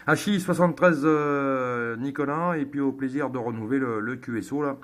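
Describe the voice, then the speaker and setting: Male amateur radio operator, medium pitch, casual two-way chat, halting delivery with repeated phrases.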